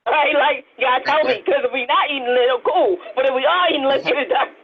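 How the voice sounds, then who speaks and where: A woman's voice: high voice, fast talker, crowd noise. A woman co-hosting with casual banter in a playful, emphatic way, often repeating herself. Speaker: co-host. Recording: tinny phone-like audio.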